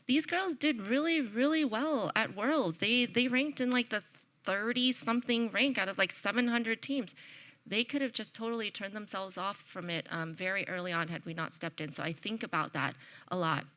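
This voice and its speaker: A middle-aged woman on a conference panel telling a passionate, animated story about coaching. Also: medium pitch, moderate pace, low-quality recording.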